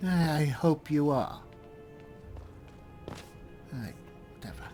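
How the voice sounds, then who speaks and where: A man's voice: fairly high pitch, lively intonation, extremely slow, mellow, soft background music. A man roleplaying through a fantasy game in casual commentary, with dry, wry, offhand replies. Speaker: gamer roleplaying as an elf spellsword.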